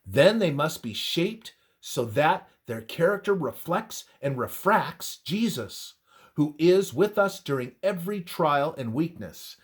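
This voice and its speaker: Male narrator for devotional script: unhurried and deliberate, highly varied intonation, emphatic pauses. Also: sing-song, breathy edge. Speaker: reader of a religious devotional text.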